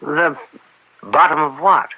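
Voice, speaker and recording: An upright, fatherly judge in an old radio drama. He sounds genial and indulgent, with a very bright, forceful voice that moves around animatedly in pitch. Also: expressive, takes it slowly, husky, full character voice, rough recording. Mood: dismissive, amused. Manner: fatherly, dismissive of worry.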